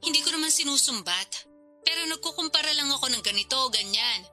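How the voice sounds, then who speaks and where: Shrill, nagging older sister. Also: female voice, animated, a little fast, bright, forceful tone, soft background music, full character voice. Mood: resentful, complaining. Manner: sarcastic, needling, slangy. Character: nagging, pushy older sister from the province asking for money. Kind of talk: radio drama acting.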